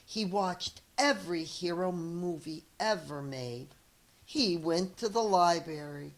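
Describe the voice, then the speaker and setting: A middle-aged woman, a teacher reading a children's picture book aloud in a deliberate, expressive storytelling manner with chatty asides. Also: lowish voice.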